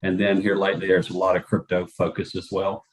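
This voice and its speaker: A man hosting an interview, relaxed and somewhat hesitant, with many fillers. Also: low-pitched, brisk. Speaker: host. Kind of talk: casual interview.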